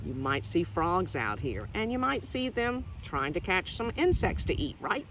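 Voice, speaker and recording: A woman teacher reading a picture book to young children outdoors, expressive and asking them questions directly as she explains things simply. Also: lowish voice, unhurried, noisy background. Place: outdoors in nature.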